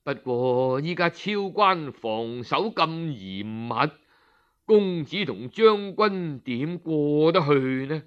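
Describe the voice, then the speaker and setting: A man voicing a kindly, courteous old physician living as a hermit, gently reassuring in a Cantonese storytelling style. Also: on the high side, lively intonation, takes it slowly, clear, resonant tone, dull audio. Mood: gentle, reassuring. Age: older.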